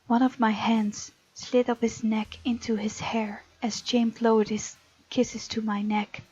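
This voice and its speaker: Woman reading as a breathy, hushed romance heroine. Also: on the high side, little pitch movement, measured pace, no echo. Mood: intimate, hushed. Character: bold, tender young heroine narrating a romance. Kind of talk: hushed dramatic reading of a visual novel. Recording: muffled lo-fi mic, music underneath.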